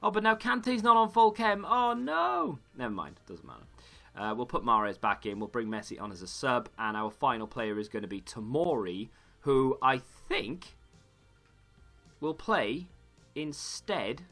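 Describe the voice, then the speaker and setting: A man, slightly hoarse, rambling through casual gaming commentary and correcting himself as he goes. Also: mid-range voice, big pitch swings, unhurried, bright, forceful tone, faint music behind. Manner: rambling, self-correcting chatter. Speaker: gaming YouTuber.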